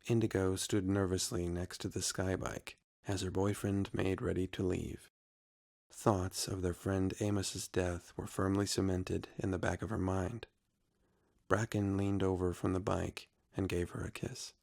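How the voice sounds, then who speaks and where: A man's voice: sits low, level tone, a little slow, gravelly, a little mellow. A man narrating a scripted adventure story.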